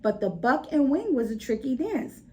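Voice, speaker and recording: A woman's voice: sits high, very animated, normal speed, darkish tone, a little hiss or hum. A woman reading a children's story aloud expressively, with dramatic emphasis and direct asides to her listeners.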